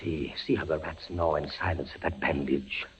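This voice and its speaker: An actor playing a tormented, desperate prisoner in a theatrical, halting 1940s radio horror style, on an old radio recording. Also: male voice, a bass voice, fairly even intonation, a little hiss or hum. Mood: grim resignation. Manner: halting, theatrical, swinging from hushed dread to frantic cries. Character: tormented male prisoner facing slow execution, desperate and brooding. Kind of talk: 1940s radio horror acting, intense dramatic monologue.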